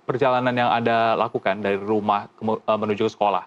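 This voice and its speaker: A man's voice: on the low side, fairly even intonation, quick pace, dull audio. Polite male TV interviewer. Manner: polite, formal. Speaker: TV news interviewer. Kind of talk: broadcast interview questions.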